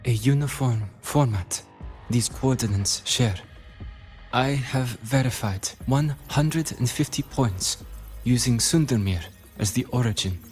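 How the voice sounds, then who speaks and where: A man's voice: mid-range voice, a little mellow, soft background music, close to an everyday voice. A man playing a courteous, scholarly video game character who speaks formally with stately phrasing. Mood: composed, deliberate. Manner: formal, deliberate. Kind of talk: scripted game dialogue.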